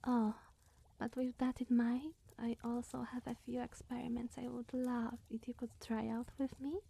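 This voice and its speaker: A woman playing a lonely, awkward immortal witch in an ASMR roleplay, speaking in a breathy, whispery, hesitant and coy way. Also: fairly high pitch, little pitch movement, normal speed, warm tone. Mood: giddy, coy.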